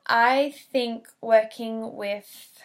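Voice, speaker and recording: Earnest young woman, slightly high voice. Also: fairly even intonation, very slow. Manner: earnest, many fillers and restarts, gushing. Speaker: speech pathology student. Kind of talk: casual self-introduction video.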